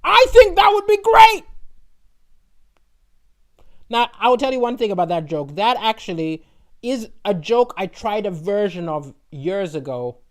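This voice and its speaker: A man with a notably high-pitched voice, a comedian chatting casually and rambling to an online audience, often tagging lines with "right?". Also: big pitch swings, unhurried, long pauses, a bit bright.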